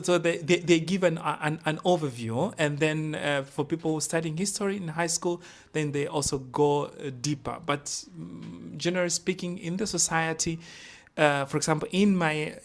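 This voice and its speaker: A man's voice: on the high side, steady tone, a little slow. A young man from Tanzania, a guest scholar in a podcast interview, talking with many fillers, restarts and repeated words.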